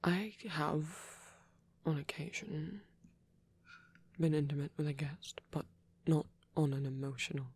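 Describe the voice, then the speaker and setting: A man voicing a gentle, protective vampire priest in an ASMR roleplay, speaking softly and breathily in halting, earnest, tender confession. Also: a little high, steady tone, dry recording. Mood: careful, earnest. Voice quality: breathy, whispery, soft. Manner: hesitant, halting, earnest delivery. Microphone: close microphone, dry sound.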